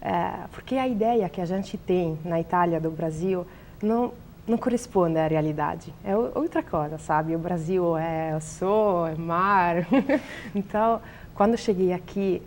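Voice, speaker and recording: A young Italian woman with an Italian accent, a biologist answering interview questions in a language she is still learning, pausing often to search for words. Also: lowish voice, a little mellow, slight background noise. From Italy. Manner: hesitant, searching for words, many fillers.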